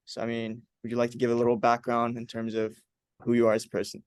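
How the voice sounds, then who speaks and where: A young man from Uzbekistan hosts a relaxed interview, his voice sitting at an ordinary middle pitch. He talks loosely, with lots of "like"s, and the sound is close and dry with no room around it. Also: fast talker, dry recording. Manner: relaxed, filler-heavy, with many "like"s. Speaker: host. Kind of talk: casual interview chat.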